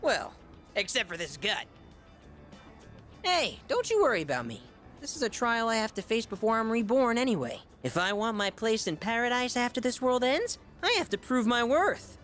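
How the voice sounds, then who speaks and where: Blustery young glutton, high voice, swooping delivery, dramatic, game dialogue with music. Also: female voice, big pitch swings, normal speed, a bit bright. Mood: jovial, grandiose. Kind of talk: video game voice acting.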